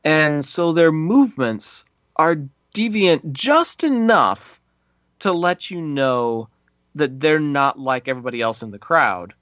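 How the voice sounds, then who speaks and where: A man hosting a casual podcast about a film, talking in an animated way with quick restarts and playful mimicked reactions. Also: on the high side, no room sound, lo-fi sound. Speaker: podcast host.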